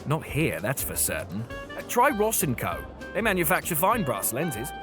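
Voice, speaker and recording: An actor playing a fussy, chatty British camera shop clerk in a scripted audio drama, with formal shopkeeper patter. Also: male voice, fairly high pitch, wildly swooping pitch, normal speed. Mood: intrigued, helpful. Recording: music behind the voice.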